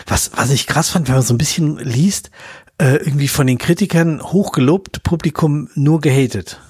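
A man's voice: on the high side, normal speed, a little mellow, slightly rough, no echo, clean studio sound. A man speaking as a podcast host in a casual, colloquial film review chat with slangy turns of phrase.

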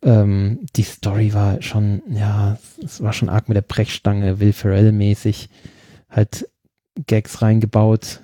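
Relaxed, husky German man chatting. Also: on the low side, slow pace, no echo. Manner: chatty, hesitant, many fillers and laughs. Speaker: podcast co-host. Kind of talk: casual chat about films.

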